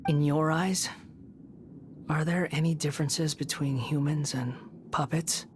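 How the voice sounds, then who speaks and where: A bitter young male puppet for a video game. The voice sits low and speaks in solemn, terse phrases. Faint music plays behind. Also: fairly even intonation, a little slow. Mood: solemn, resolute. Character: brooding youthful male puppet, bitter and proud.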